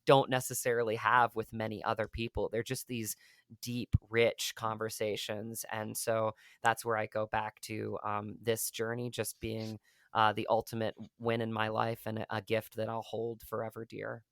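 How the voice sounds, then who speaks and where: A man's voice: on the low side, completely flat delivery, moderate pace, fairly bright tone, no room sound. A man, an actor being interviewed, talking earnestly and at length with many fillers and restarts. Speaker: actor, podcast guest. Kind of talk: casual interview talk.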